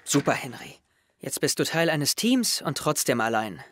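A man's voice: on the high side, big pitch swings, moderate pace, fairly bright tone, a little hiss or hum. A young man playing an introspective, wry teenage schoolboy who narrates his thoughts in an audio drama. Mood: doubtful. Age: young adult. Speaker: actor narrating as the main character. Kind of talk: audio drama inner monologue.

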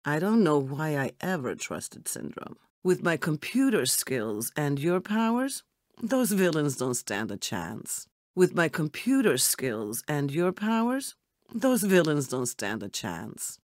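A woman playing a cool, poised and wry double agent in an animated video game. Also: low-pitched, no room sound, clean studio sound. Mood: weary, wry.

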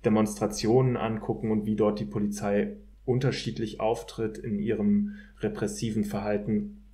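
A man's voice: lowish voice, little pitch movement, normal speed, dark tone. A man speaking as an expert guest in an analytical discussion, hesitantly searching for his words. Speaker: guest, political scientist.

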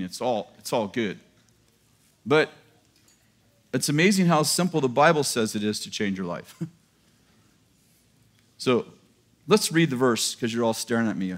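A man's voice: mid-range voice, lively intonation, unhurried, pauses often. A man in his fifties preaching a sermon in a large church auditorium to a live congregation, talking in a casual joking way and laughing at his own lines. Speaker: pastor. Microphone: headset mic.

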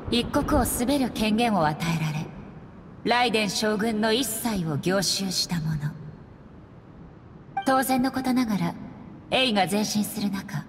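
A woman playing a solemn, resolute warrior goddess in a Japanese anime game, speaking formally in a grave, measured manner. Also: mid-range voice, slight background noise, natural voice. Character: solemn, resolute warrior goddess and her stern puppet shogun.

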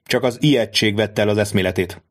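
A man voicing a composed, formal nobleman count in an audiobook, calm and dignified. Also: fairly low pitch, lightly acted. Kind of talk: audiobook reading of a classic novel.

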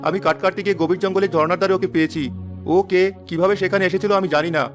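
A poor woodcutter in a cartoon, humble and pleading, his voice high and rushed, as a man plays him. Faint music underneath. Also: fairly even intonation, close to an everyday voice.

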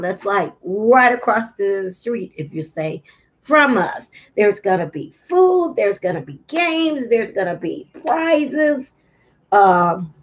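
A middle-aged American woman, an early childhood teacher, giving an informal video message to parents and toddlers in a deliberate, halting, sometimes singsong way. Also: a little high. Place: small indoor room at home. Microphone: laptop webcam at arm's length.

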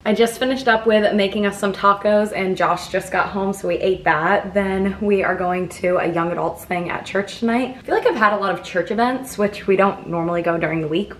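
A young American woman talks casually to her vlog camera at home. The room is echoey, with some background noise. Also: lowish voice. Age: 20s. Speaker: vlogger. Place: indoors at home, rooms and kitchen.

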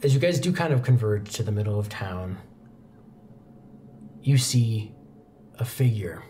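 A young man narrating a tabletop roleplaying game as game master, telling the story in a measured way with pauses. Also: lowish voice, lively intonation, mellow, a little hiss or hum. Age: young adult. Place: indoor studio set, seated at a desk. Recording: background music under the voice.

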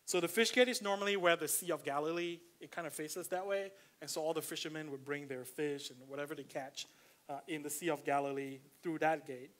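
A man's voice: a little high, a bit bright. A man with a Malaysian accent preaching a casual, conversational sermon in a church, reading scripture aloud with frequent fillers. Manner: conversational, filler-heavy, slows down to stress points. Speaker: pastor.

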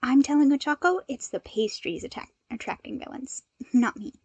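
A woman's voice: high-pitched, lively intonation, normal speed, a little mellow, breathy edge, no room sound, highs cut off, pitched above the performer's own voice. Girl doing a sly young guy, kinda petulant and flippant, story reading, dry audio. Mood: teasing. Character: cheeky young man secretary, sly and unbothered.

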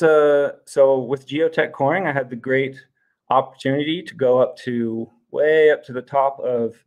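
A man in his thirties giving an informal debate presentation in a halting, explanatory way. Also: medium pitch, darkish tone. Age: 30s. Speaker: debater arguing for evolution. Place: indoors, on a video call.

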